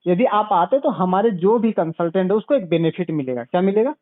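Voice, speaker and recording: A man giving a didactic sales pitch as a consultant over the phone, repeating points and checking back with questions. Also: expressive, fairly quick, no echo. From Bihar, India. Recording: narrow, tinny phone call recording.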